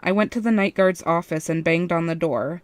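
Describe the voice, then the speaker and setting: A woman's voice: on the low side, moderate pace, no room sound. A woman reading a first-person fan fiction story as its narrator.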